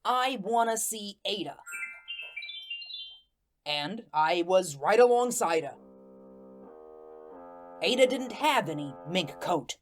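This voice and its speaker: A woman's voice: mid-range voice, animated, faint music behind. A woman playing a plainspoken, vengeful ghost who tells his tale in a folksy, colloquial way in an audio drama. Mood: wistful, aggrieved. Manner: folksy, colloquial, deliberate storytelling. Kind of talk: first-person audio drama narration.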